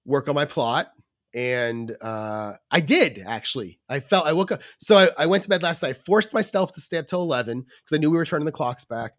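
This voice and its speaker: A man with a somewhat high voice made scratchy by a cold talks in loose, rambling podcast chat, full of fillers and restarts. The sound is dry and close, with no room around it. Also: fairly bright tone, no echo. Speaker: podcast co-host. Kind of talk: casual chat.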